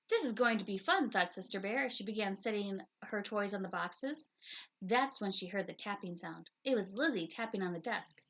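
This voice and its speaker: A woman reading a children's story aloud in an expressive storytelling style. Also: medium pitch, slight background noise. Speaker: picture book reader.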